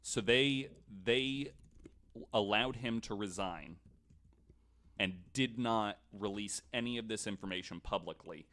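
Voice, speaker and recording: Male, low-pitched voice, casual podcast storytelling, informal, slightly halting delivery. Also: pushed and bright. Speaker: podcast panelist presenting a researched story.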